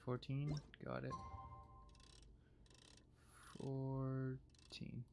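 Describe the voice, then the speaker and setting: A man's voice: mid-range voice, completely flat delivery, very slow, creaky. A man chatting casually as a game streamer, commenting over his livestream gameplay. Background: game music.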